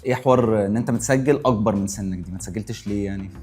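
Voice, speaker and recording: A man's voice: fairly low pitch, very fast, a little mellow. A man with an Egyptian accent making casual banter as a panelist on a guessing-game show. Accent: Egyptian Arabic. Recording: other voices chattering behind.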